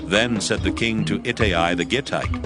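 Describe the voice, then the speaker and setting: A man's voice: on the low side, normal speed. A man narrating scripture from a script in a solemn, formal storytelling manner. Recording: loud music under the voice.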